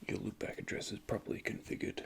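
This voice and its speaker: A man whispering softly and methodically as he plays a repair technician in an ASMR roleplay, recorded close to the microphone. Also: quick pace, a little hiss or hum. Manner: soft, calm, methodical, speaks in technical jargon. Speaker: ASMR creator playing an android repair technician.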